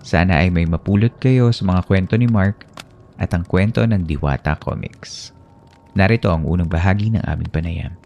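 A man from the Philippines hosting a podcast, reading a slow, measured, formal introduction. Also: deep voice, gravelly, a little mellow, slight background noise. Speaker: podcast host.

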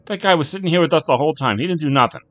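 A man acting a blunt, gruff bartender with colloquial speech in a story reading. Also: on the high side, expressive, racing, pitched above the performer's own voice, close to an everyday voice. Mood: protesting. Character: gruff male bartender, quick to yell for backup. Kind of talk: audiobook character acting.

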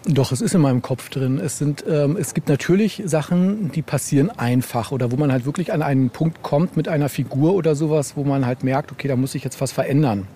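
Higher-voiced man in a halting interview conversation. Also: dark tone, slight background noise. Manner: halting, ends phrases with tag "ne?". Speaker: guest author in a podcast interview.

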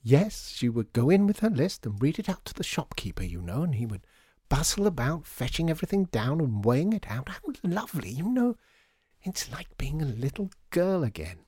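Man voicing a gushing, high-pitched genteel old lady. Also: very animated, normal speed, mellow, no room sound, clean studio sound. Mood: wistful, gushing. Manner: breathy, effusive, wistful, rambling. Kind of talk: storybook character voice.

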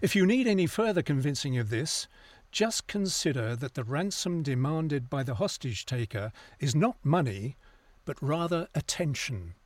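A man's voice: a little high, expressive, moderate pace, no echo. A man playing a scathing, articulate cultural critic debating on a broadcast news panel in an audio drama. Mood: earnest, critical. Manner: articulate, opinionated.

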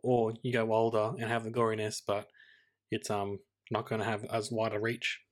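An Australian man co-hosting a podcast, talking casually about films in a measured, tentative way, thinking aloud. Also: on the low side, completely flat delivery. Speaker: podcast co-host. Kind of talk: casual film discussion.

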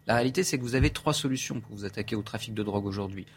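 A man giving measured analysis as a debate guest, hesitating often as he lays out options. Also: on the low side, slightly rough. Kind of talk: studio debate.

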